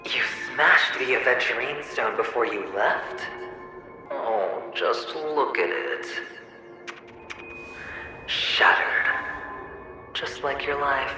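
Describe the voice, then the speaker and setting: A man's voice: medium pitch, close to an everyday voice. A man playing a taunting, sly inner alter ego in a video game cutscene, speaking in a theatrical, teasing way. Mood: taunting, gloating. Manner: theatrical, mocking, drawn-out teasing. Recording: very echoey hall reverb, music behind.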